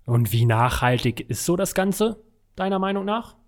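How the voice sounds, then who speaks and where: A man's voice: high voice, sing-song, normal speed. A man hosting a podcast interview, asking questions in an informal, conversational way. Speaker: interview host.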